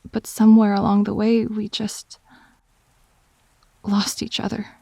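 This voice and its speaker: A woman's voice: medium pitch, completely flat delivery, a little slow, creaky, dark tone, no echo. A woman playing a wounded, weary girlfriend in an audio drama, speaking hesitantly with a fragile voice. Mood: sad, regretful.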